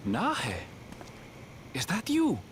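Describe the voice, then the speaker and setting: A man's voice: high voice, huge pitch swings, extremely slow, a little hiss or hum, heavily acted. An actor playing an earnest, pleading young man searching for a loved one in a video game. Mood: hopeful.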